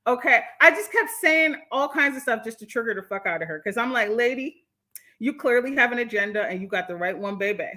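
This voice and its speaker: A woman with an American accent hosting a casual livestream, reading and answering viewer comments in an animated, candid, slangy way. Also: on the high side, a little fast. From born in Jamaica, moved from the United States. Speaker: livestream host.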